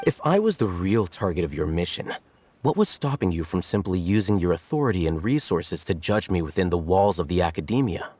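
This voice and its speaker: An actor playing a composed, sardonic scholar in a video game, speaking in a measured, articulate, wry way. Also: male voice, low-pitched, very animated, darkish tone. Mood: cool, probing. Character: composed, sardonic male scholar, coolly analytical. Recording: studio voice over background music.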